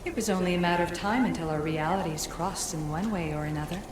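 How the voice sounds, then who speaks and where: A woman voicing a composed, ancient female Isu hologram who speaks in a measured, formal way in a video game. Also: fairly low pitch, close to an everyday voice. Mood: solemn. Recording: echoey with steady noise.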